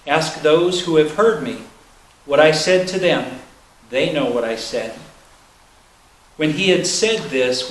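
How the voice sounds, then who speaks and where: A man's voice: on the high side, fairly bright tone. A man reading scripture aloud in a solemn style at a church service. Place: large indoor church space. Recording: echoey room with faint noise.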